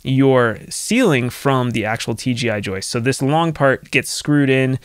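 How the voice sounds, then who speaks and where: A man's voice: medium pitch, expressive, unhurried, clear, resonant tone, no echo. A man in his thirties with a North American accent, explaining conversationally as a tutorial host, close to a desk microphone in a home studio. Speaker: soundproofing instructor and video host. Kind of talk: instructional screen-share tutorial. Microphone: desk microphone close to the mouth.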